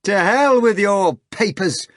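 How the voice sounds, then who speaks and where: A man's voice: high voice, big pitch swings, normal speed, fairly bright tone, dry recording, clean studio sound, voice pushed up. Man doing a shrieking, impudent young maid. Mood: mocking. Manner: shrieking, gleeful, mocking. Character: brash young housemaid, laughing and impudent.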